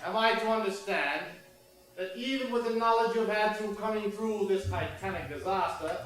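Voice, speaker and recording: Actor as male examiner, high-pitched, indignant, formal, stage play, distant mic, heavy echo. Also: moderate pace, a bit bright. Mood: indignant, insistent. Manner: formal, insistent. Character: stern, indignant inquiry examiner. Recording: very echoey stage, distant microphone.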